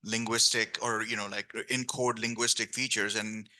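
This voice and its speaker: Guy on a panel, real bright voice, lots of um and like, keeps restarting. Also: lowish voice, level tone, normal speed. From grew up speaking Urdu. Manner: hesitant, many restarts and fillers. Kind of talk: panel discussion.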